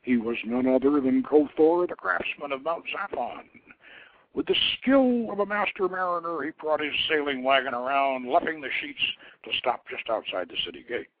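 A man's voice: medium pitch, animated, normal speed, slight background noise. A man reading a story aloud as a radio host, in a theatrical, formal storytelling style. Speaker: radio show host and author. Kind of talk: dramatic storytelling, reading his own novelette. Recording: muffled, thin phone-like radio line.

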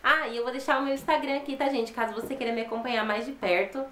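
Brazilian woman in her twenties hosting a craft channel, chatty and friendly, slightly high voice, echoey small room. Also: fairly even intonation, quick pace, fairly bright tone, slight background noise. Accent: Brazilian Portuguese. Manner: chatty, friendly, often ends with "tá?". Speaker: DIY channel host. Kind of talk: upbeat on-camera intro and outro. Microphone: fixed camera at close range.

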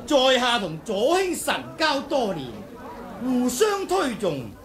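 An actor with a Hong Kong Cantonese accent playing a polite, guarded middle-aged swordmaster in an old radio drama, measured and self-effacing. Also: male voice, extremely high pitch, huge pitch swings, a crowd in the background, noisy background, full character voice. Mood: guarded courtesy. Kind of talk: 1980s radio drama acting.

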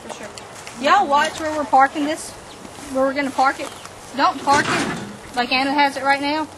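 A woman gives practical, brisk instruction to a group of camp counselors at a lakeshore. She raises her voice to carry across the group. She is caught by a camera at some distance, under loud outdoor noise. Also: sits high, steady tone. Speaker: camp staff trainer.

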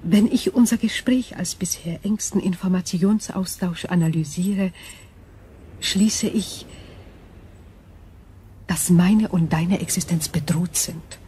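An ancient crystal being from space speaks in a soft-edged, slightly low female voice. She is cool and detached, phrasing everything with formal precision. It is radio drama, with faint ambient noise behind. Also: slow pace, pauses often. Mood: detached, wary.

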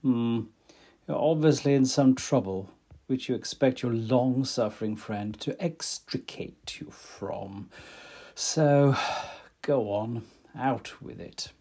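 A man plays a long-suffering artist friend in a period audio drama. His voice sits at medium pitch, with a wry, teasing, gentlemanly delivery. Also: slow. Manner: dry, teasing.

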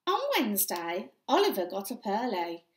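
Animated woman reading a story, echoey room. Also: medium pitch, slight background noise. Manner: animated, deliberate storytelling for young children. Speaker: primary school story time reader. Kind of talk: expressive read-aloud of a children's picture book. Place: small indoor room with bare walls.

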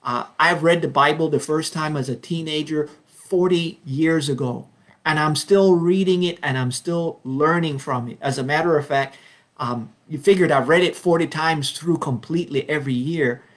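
Earnest man from Belize in his 50s. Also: fairly high pitch. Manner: earnest, unhurried, frequent ums and restarts, slows when reading verses. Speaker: Bible study leader. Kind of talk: informal Bible study talk. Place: home study with bookshelves. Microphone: webcam, video call framing.

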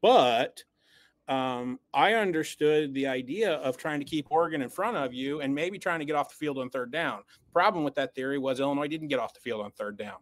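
A man's voice: a little high, expressive, brisk, dry recording. A man co-hosting a sports podcast, giving post-game analysis in an emphatic, blunt way and stumbling over repeated words as he builds up steam. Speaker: podcast co-host.

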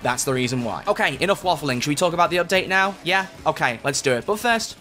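A man's voice: on the high side, animated, quick pace, loud music behind the voice. A man from the UK, a gaming YouTuber, breaking down a game update in an upbeat, chatty way, his voice a bit off from illness. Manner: upbeat, chatty, self-correcting. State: voice affected by illness.

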